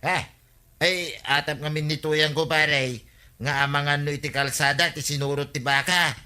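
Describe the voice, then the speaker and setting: A man's voice: on the high side, steady tone, moderate pace, sharp tone, clean tone. An actor with an Ilocano accent playing a worried village man searching for his lost cow in a radio comedy drama. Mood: anxious.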